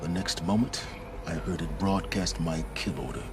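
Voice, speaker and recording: An actor playing a cold, arrogant male scholar and fugitive schemer in a video game, speaking in a measured, aloof and precise way. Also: low voice. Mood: measured recounting. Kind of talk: video game cutscene acting. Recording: music under the voice.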